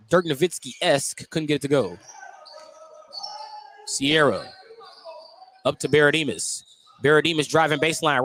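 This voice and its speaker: A man's voice: a little high, fairly bright tone. An American man doing live radio play-by-play of a basketball game. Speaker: play-by-play announcer. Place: indoor school gym during a game. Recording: noisy gym broadcast. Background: gym noise, bouncing basketballs.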